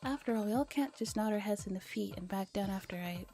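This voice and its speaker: A soft-spoken woman streaming a relaxed ASMR read-aloud of a visual novel with casual asides, often dropping to a whisper. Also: mid-range voice, quick pace. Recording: music behind the voice. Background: background music.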